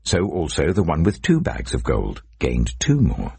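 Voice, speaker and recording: A man narrating scripture dramatically, in a solemn, deliberate way. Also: rumbling low, huge pitch swings, creaky, dark tone, breathy edge, dry recording. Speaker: Bible narrator.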